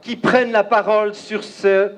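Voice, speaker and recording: A man's voice: sits high, little pitch movement, slight background noise. Emphatic Belgian man addressing a rally. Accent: Belgian French. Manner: emphatic, declamatory, pausing often. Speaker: rally speaker. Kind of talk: outdoor rally speech. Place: outdoor crowd gathering.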